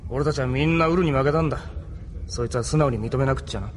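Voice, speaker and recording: A man's voice: mid-range voice, quick pace, a little hiss or hum, natural voice. An actor playing a level-headed, frank male mechanic crewman in an anime audio drama, talking casually. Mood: reasoning.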